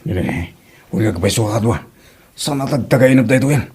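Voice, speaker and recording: A man's voice: fairly low pitch, expressive, normal speed, a little mellow, husky, heavily acted. A man playing a mischievous, teasing village buddy in an Ilocano comedy drama, with an Ilocano Filipino accent.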